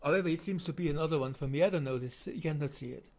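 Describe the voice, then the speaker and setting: Man, German accent, non-native English, high-pitched, hesitant, many fillers, off-the-cuff voice-over commentary. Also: a little mellow. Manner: halting, many "uh" fillers and restarts. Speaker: video commentator. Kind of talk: unscripted voice-over commentary on footage.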